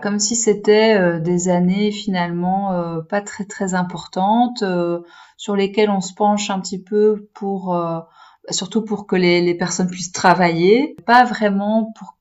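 A woman's voice: fairly low pitch, steady tone, unhurried, a little mellow, lo-fi sound. A woman hosting a podcast interview, asking questions with many fillers and restarts. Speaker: podcast host.

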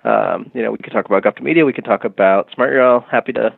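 Gravelly low man on a phone-like line. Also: no echo. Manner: measured, explanatory, with frequent fillers. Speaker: agency head, podcast guest. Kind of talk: casual interview talk.

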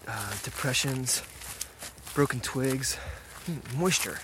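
A man playing a guarded, low-key drifter and hunter in an audio drama, speaking in terse, hesitant lines. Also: takes it slowly, noisy background, lightly acted. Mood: impatient, focused. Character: guarded, drifting outdoorsman from Maine who grew up hunting. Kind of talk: naturalistic audio drama dialogue.

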